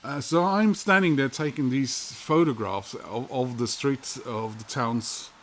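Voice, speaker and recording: A man from Norway with a Norwegian accent, telling a travel story in a rambling, halting way with many fillers. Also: mid-range voice, fairly bright tone, a little hiss or hum. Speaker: vinyl collector vlogger. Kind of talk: casual storytelling monologue.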